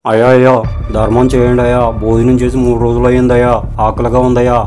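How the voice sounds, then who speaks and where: A man's voice: medium pitch, monotone, quick pace, dark tone, natural voice. Cartoon beggar, acted by a man: hungry, pitiful, wailing pleas, faint noise behind. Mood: pleading. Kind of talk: cartoon story dialogue.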